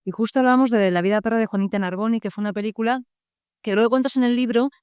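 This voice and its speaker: A man's voice: high-pitched, steady tone, racing, darkish tone, clean tone, no room sound, clean studio sound. A man interviewing a guest on the radio, asking questions in a casual manner.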